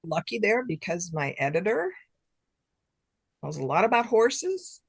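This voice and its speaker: A woman's voice: medium pitch, very animated, measured pace, creaky, slightly rough, dry recording. A middle-aged woman chatting casually as an interview guest, with a self-deprecating manner. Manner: self-deprecating, chuckles between remarks. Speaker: guest author.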